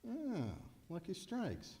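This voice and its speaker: A man's voice: high-pitched, very animated, slow, mellow, heavily acted. A man acting a sly, insistent racetrack tout in an old-time radio comedy, clipped, confiding and slangy. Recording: echoey hall, live stage performance.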